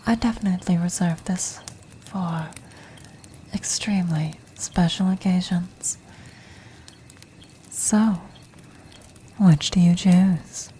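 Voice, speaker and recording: A woman voicing an ancient, commanding vampire matriarch in a whispered ASMR fantasy roleplay, refined, breathy and formal. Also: fairly low pitch, steady tone, dark tone, slight background noise. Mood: savoring, deliberate. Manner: formal, measured, aristocratic.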